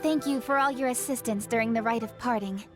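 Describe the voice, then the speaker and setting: Game role: earnest young lady official female actor, high voice, polite and formal, slightly reserved, light music behind. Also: brisk, close to an everyday voice. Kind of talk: video game voice acting.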